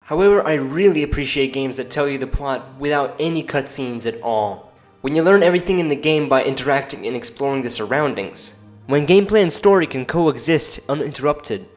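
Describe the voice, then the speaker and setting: A man giving casual, informal opinion commentary as a video narrator, with the odd sweary, playful aside. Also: mid-range voice. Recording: music under the voice. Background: background music.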